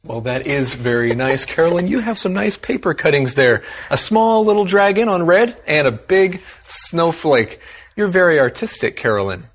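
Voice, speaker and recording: A man's voice: fairly high pitch, very animated, moderate pace. A man co-hosting a scripted language lesson, playful and friendly as he explains words.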